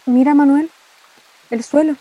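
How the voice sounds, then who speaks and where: Radio drama role: earnest young woman carrying family grief, Chilean accent, slightly high yet soft mellow voice. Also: fairly even intonation, unhurried, low-quality recording. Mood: frightened.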